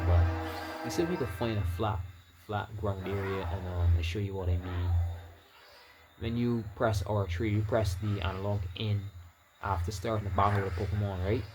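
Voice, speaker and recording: Barbadian man rambling over gameplay. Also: lowish voice. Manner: rambling, restarts words, laughs often. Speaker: gaming YouTuber. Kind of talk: casual gameplay commentary.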